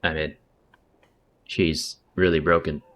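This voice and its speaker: Low-voiced slangy male streamer. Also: flat delivery, slow pace, fairly bright tone. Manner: slangy, swearing, chatty. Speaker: game streamer. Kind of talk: casual livestream commentary.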